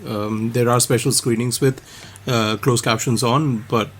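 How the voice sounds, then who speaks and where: A man in casual podcast talk. He pauses and hesitates often, filling the gaps with "uh", and his voice sits at a middling pitch. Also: steady tone, a little hiss or hum. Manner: hesitant, many "uh"s. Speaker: podcast host.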